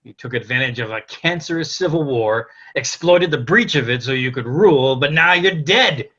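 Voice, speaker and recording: A man's voice: medium pitch, expressive, moderate pace, sharp tone. An actor playing a cocky, foul-mouthed young French king who gloats and swaggers like a stage showman, over a lo-fi online call. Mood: gloating, angry. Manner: boastful, indignant, swaggering. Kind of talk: theatrical comic monologue in a table read. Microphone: video call.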